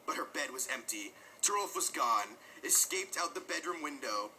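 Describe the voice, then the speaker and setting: A man giving a mock-dramatic, overwrought reading of parody romance fiction, with drawn-out emphasis. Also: mid-range voice, animated, pushed and bright, slight background noise, poor audio. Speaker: reader of a comic dramatic reading.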